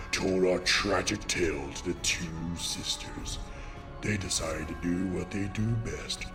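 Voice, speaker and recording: A brooding unicorn tyrant in an animated comic drama, very deep and gravelly. He speaks slowly, with bitter menace and a melodramatic edge, over background music. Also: heavily acted. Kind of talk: melodramatic comic fandub acting.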